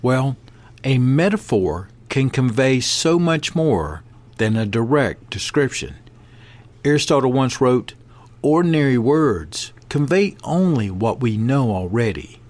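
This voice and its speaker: Slow, measured male podcast reader. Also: medium pitch, sing-song, a little mellow. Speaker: podcast host. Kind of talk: reading a text aloud with commentary.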